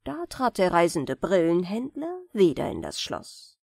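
A woman narrating fairy tales as a bedtime audiobook in a measured, expressive storytelling manner. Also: on the low side, vocal fry, no room sound, studio quality. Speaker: audiobook reader.

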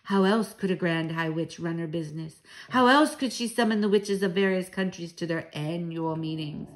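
A woman's voice: on the low side, normal speed, voice pushed down, close to an everyday voice. A woman voicing a bold, proud old Norwegian grandmother in a storybook, speaking with assured, dramatic emphasis and affection. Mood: conspiratorial.

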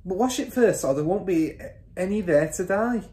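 A woman voicing a shrill, exasperated, scornful mother and wife from a children's story, shrieking and nagging. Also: lively intonation, moderate pace, warm tone, roomy sound, close to an everyday voice. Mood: curt scorn.

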